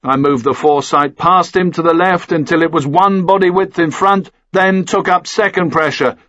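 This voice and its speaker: A man's voice: on the high side, steady tone, moderate pace, fairly bright tone, no room sound, highs cut off. A man reading a first-person action thriller as scripted audiobook narration.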